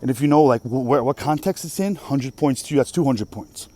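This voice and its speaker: Man from Russia who speaks Russian natively, mid-pitched and mellow, delivering a profane, emphatic off-the-cuff rant. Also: a little fast. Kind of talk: casual rant monologue.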